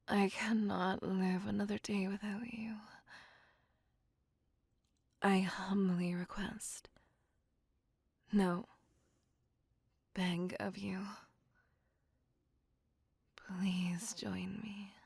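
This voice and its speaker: A woman playing a courtly, besotted, ancient female vampire in an ASMR roleplay, speaking in formal, ornate, breathy phrases that often sink toward a whisper. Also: medium pitch, flat delivery, extremely slow, long pauses, darkish tone, no room sound, full character voice. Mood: pleading, devoted. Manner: formal, old-fashioned, ornate, pleading speech. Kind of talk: ASMR roleplay, scripted monologue.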